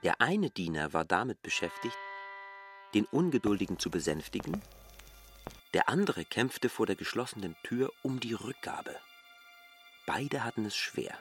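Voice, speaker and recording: A man narrating a literary radio drama as a reflective observer, in an expressive storytelling manner. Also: lowish voice, moderate pace, pauses often. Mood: observant, wry. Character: male narrator, reflective observer of a bustling absurd world. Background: music.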